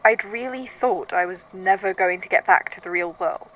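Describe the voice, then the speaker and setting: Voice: medium pitch, normal speed, a bit bright, close to an everyday voice, low-quality recording. A performer playing a weary, haunted archivist, speaking in a hesitant, introspective, conversational way in an audio drama monologue. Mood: haunted, reflective. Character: weary, haunted archivist recording statements of uncanny events. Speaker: voice actor in a fan fiction audio drama.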